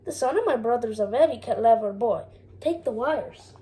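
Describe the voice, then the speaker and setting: Young boy playing a friendly shopkeeper uncle from a storybook, voice pushed a bit higher. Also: lively intonation, normal speed, warm tone, poor audio. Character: kindly male shopkeeper uncle, clever and generous.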